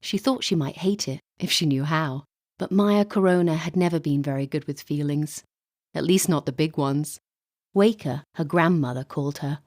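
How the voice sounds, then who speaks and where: A woman narrating scripted literary fiction in a measured, storytelling way, as a voice-over artist. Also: low voice, darkish tone, dry recording, clean studio sound.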